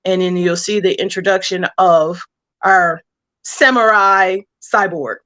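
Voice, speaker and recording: A woman's voice: fairly low pitch, fairly even intonation, a little slow, a bit bright. A woman speaking casually as an interview guest, explaining plans for a story as a comic book writer.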